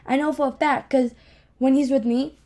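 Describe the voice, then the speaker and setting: A woman's voice: high-pitched, steady tone, moderate pace, warm tone. A young woman podcast host with a speech impediment, chatting casually on her own in a candid, self-deprecating, rambling way. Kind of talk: casual solo chat, rambling. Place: home office.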